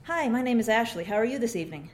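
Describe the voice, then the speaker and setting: A woman reading a pushy, chipper door-to-door saleswoman with brisk sales patter. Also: a little high, lively intonation, slight background noise, natural voice. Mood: salesy.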